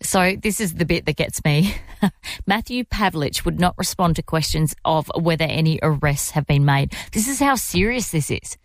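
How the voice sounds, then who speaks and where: A woman co-hosting a casual sports chat, exclamatory and wry, with frequent quick agreements. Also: low-pitched, lively intonation, no room sound. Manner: quick back-channel replies, exclamatory, wry. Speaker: sports show co-host.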